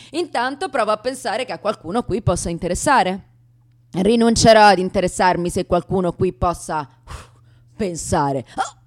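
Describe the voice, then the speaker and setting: An actress playing a wounded, brazen young woman confessing her troubled past in a theatrical, emotional radio monologue full of sighs, gasps and abrupt swings. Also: mid-range voice. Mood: nervous, insistent.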